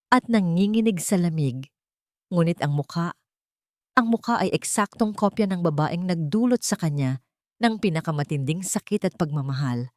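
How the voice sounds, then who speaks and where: A woman narrates a romantic drama in scripted storytelling style. Her voice sits on the low side for a woman. The recording is close and dry, with no room sound. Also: a little mellow, no echo.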